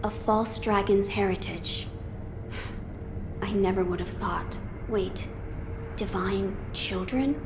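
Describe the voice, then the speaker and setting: A woman's voice: medium pitch, steady tone, slow pace, long pauses, lightly acted. A woman voicing an earnest, noble young boy lord in a game cutscene, formal and thoughtful in delivery. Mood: grave. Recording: clear ambient noise behind dialogue.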